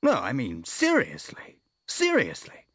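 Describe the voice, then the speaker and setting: A man's voice: mid-range voice, big pitch swings, fairly bright tone, no room sound, muffled recording. Man acting a flustered, stammering old-world general. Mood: agitated, bewildered. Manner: stammering, repetitive, halting. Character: flustered middle-aged general, a bewildered fretting father.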